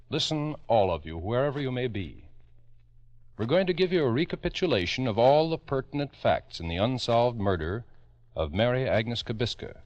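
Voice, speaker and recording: A man with an American accent narrating a 1950s radio true-crime drama as host, in a grave, emphatic, deliberate way. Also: medium pitch. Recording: dull old radio recording.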